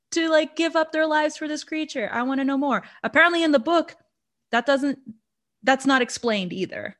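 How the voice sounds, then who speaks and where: A woman's voice: on the high side, fairly bright tone. A woman from Brazil, a podcast co-host, chatting about a horror movie with lots of fillers and laughter. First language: Portuguese. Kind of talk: casual movie review chat.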